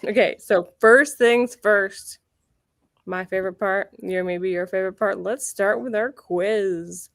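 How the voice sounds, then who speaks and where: A woman's voice: medium pitch, unhurried, vocal fry, dry recording, clean studio sound. A woman hosting a casual real estate chat, upbeat and chatty, correcting herself as she goes. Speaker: realtor and show host. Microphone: computer mic near a keyboard.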